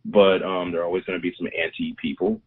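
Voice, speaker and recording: Deep husky man on a phone line. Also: big pitch swings, fast. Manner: blunt and sarcastic. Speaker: podcast co-host. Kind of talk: casual movie podcast chat.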